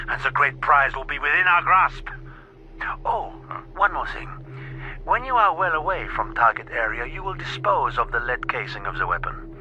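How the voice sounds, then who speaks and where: An icy criminal mastermind with a very sharp, forceful voice, clipped and formal. He commands ruthlessly over a lo-fi radio link with faint noise, as in a radio thriller. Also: mid-range voice. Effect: radio transmission. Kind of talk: radio drama acting. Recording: harsh, band-limited noisy radio link.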